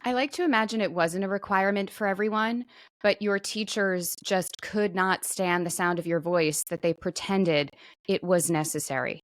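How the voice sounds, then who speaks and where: A woman co-hosts a podcast and teases her partner in a deadpan tone. Her voice sits at a middle pitch. It is recorded dry, with no room sound. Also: moderate pace, no echo. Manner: teasing, deadpan. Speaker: podcast co-host. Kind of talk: casual banter.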